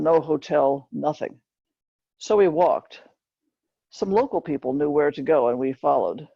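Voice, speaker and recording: A woman reads a story aloud in a mellow, husky voice with a gravelly creak. She tells it plainly, in short measured phrases. The recording is dull and roomy. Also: deep voice. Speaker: author reading from a book. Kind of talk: scripted narration, book reading.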